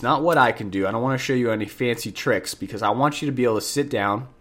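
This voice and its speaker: A man's voice: medium pitch, fairly bright tone. A man hosting a podcast lesson on jazz piano, talking casually with a stuffed-up nose, repeating key points and correcting himself as he goes. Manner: chatty, repeats points for the listener, self-corrects.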